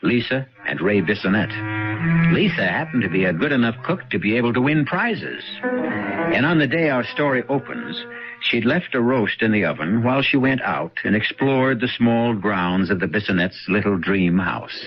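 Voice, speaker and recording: A man hosting and narrating a radio drama in a wry, measured storytelling manner. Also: medium pitch, expressive, soft background music. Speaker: host and narrator. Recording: dull lo-fi old radio broadcast.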